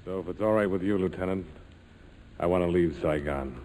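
An actor playing a curt, world-weary former army major in a 1940s radio drama, with terse, hard-bitten delivery. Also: male voice, deep voice, a little fast, a little mellow, lightly acted. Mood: bitter, weary. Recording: noisy vintage radio broadcast recording.

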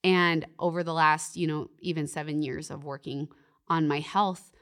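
A woman's voice: low voice, fairly even intonation, normal speed, fairly bright tone. A young woman, a podcast guest talking earnestly and reflectively about faith, often saying "like" and "you know". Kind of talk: faith-based podcast conversation.

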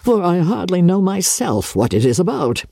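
A weary older gentleman from a period storybook, put on by a woman narrator. His voice sits low and mellow. He speaks with deliberate, dry resignation. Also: sing-song, vocal fry, husky, no room sound, full character voice. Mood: resigned.

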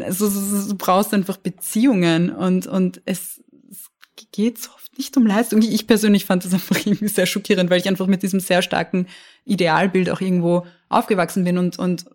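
A woman's voice: mid-range voice, steady tone, moderate pace, darkish tone. A young woman from Austria, a lawyer talking candidly as an interview guest, often restarting and repeating words. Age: young adult. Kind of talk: casual interview conversation.

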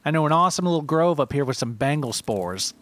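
A man's voice: a little high, expressive, fast talker, fairly bright tone, pitched above the performer's own voice. A man voicing a cheery, smug young city hipster guide with a patronizing, slangy manner. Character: cheery, smug twenty-four-year-old male city hipster mushroom guide.

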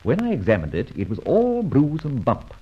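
An actor playing a breezy, teasing gentleman adventurer in a mid-century radio drama, with flippant, theatrical banter and old-fashioned British slang. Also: medium pitch, wildly swooping pitch, moderate pace, slightly rough. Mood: clever, explaining. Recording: dull, muffled old radio recording.

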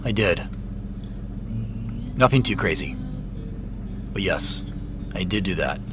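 A man's voice: low voice, expressive, slow, music in the background. An actor playing an anxious, remorseful man caught up in a mystery in an audio drama. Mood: subdued, apologetic. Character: anxious, remorseful man chasing a mystery, narrating his story. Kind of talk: audio drama dialogue and first-person narration.

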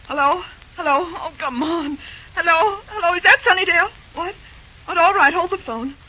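A fretful young railroad office woman in an old radio drama. Her voice is very high and bright, full of anxious, caring exclamations. The recording is dull and lo-fi. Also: normal speed. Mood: urgent.

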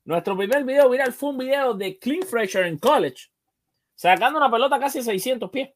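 A man's voice: very high-pitched, lively intonation, a little fast. A man hosting a sports show, discussing baseball casually and emphatically, often repeating phrases to drive a point home.

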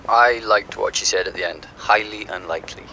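A man's voice: fairly low pitch, fairly quick, creaky, bright, forceful tone, a little hiss or hum, poor audio. An actor playing a dry, teasing man who prods his partner with wry remarks, in an audio drama.

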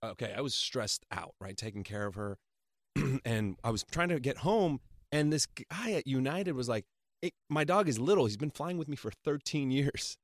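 A man on a talk show panel, chatting casually and telling animated anecdotes. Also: medium pitch, normal speed, no echo, clean studio sound. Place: dry radio studio.

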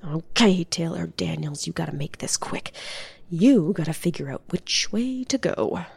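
A woman's voice: fairly low pitch, lively intonation, measured pace, vocal fry, darkish tone, breathy edge, dry recording, exaggerated voice. A woman playing an anxious undercover agent in an audio drama, muttering to herself between whispers and bravado. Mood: nervous, hushed.

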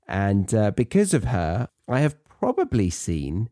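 A man's voice: on the low side, wildly swooping pitch, measured pace, warm tone, no room sound, studio quality. A British man hosting an educational English podcast, talking in a chatty, conversational and wry way. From Britain. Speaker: podcast host and English teacher.

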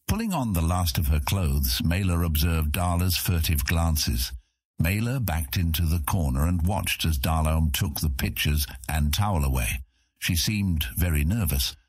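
A man's voice: extremely low pitch, expressive, measured pace, husky, no room sound. A man reading scripted fantasy narration as an audiobook narrator.

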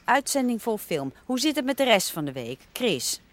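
A woman playing a brisk, bossy editor-in-chief in a radio comedy, curt and businesslike as she directs her staff. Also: on the high side, very animated. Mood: brisk, commanding.

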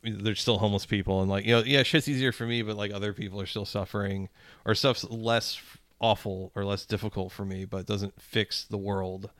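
A man's voice: fairly low pitch, steady tone, a little fast, fairly bright tone, no room sound. A man co-hosting a podcast, chatting casually in loose slang with plenty of swearing. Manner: profane, slangy. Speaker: podcast co-host. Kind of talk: casual chat about music.

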